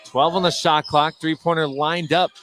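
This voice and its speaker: A man's voice: on the high side, steady tone, normal speed, music in the background. An American man giving live play-by-play commentary on a basketball game as the announcer. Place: courtside in an indoor gym. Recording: noisy gym with ball bounces. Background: gym noise, bouncing basketball.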